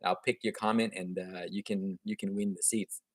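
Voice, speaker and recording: A man's voice: low voice, level tone, normal speed, no echo. Chatty man with a slight Vietnamese accent. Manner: chatty and upbeat, frequent restarts and chuckles. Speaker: gardening channel host. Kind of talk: casual live stream chat answering viewer comments. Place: room at home, indoors.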